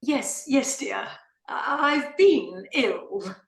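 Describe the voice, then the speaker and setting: A woman's voice: fairly high pitch, expressive, extremely slow, vocal fry, echoey room, full character voice. A woman playing an imperious, theatrically emotional aristocratic lady in a comic stage play, with a declamatory manner. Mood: composed, grand. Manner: declamatory, dramatic.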